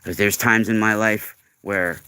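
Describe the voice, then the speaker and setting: A man's voice: on the low side, fairly even intonation, a little slow, bright, forceful tone, clear, resonant tone. A man in his forties hosting a casual livestream monologue, talking in an earnest, emphatic, rambling way. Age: 40s. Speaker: livestream host.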